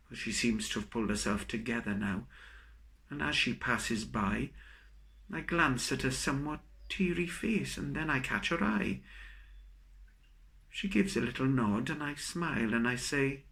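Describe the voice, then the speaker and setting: A man reciting his own comic poem in a theatrical, expressive storytelling manner. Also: mid-range voice. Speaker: poet reading own work. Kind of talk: dramatic comic poetry recital.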